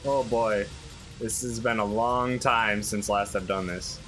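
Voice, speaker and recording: A young man talks casually through a game he is playing, explaining in halting, informal bursts. His voice is fairly low and bright, with faint music behind. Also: fairly even intonation. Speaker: let's play host playing the game. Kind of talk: casual gaming commentary.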